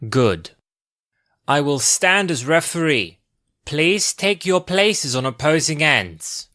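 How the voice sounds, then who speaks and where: A woman playing a stern, measured alien official in an audio drama, formal and deliberate. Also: deep voice, sing-song, fairly bright tone, no room sound, studio quality, exaggerated voice.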